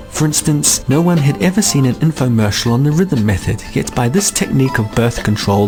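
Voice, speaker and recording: A man narrating a scripted comic science fiction radio drama in a measured, dry, formal manner. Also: lowish voice, gravelly.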